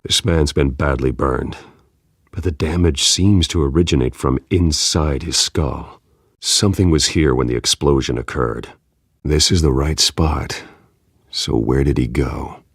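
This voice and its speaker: Very deep, husky, brooding vigilante. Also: male voice, no room sound, studio quality, heavily acted. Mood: analytical. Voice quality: husky low growl. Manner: terse, brooding, deliberate. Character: grim masked vigilante detective, menacing and controlled. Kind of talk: video game voice acting, terse investigative lines.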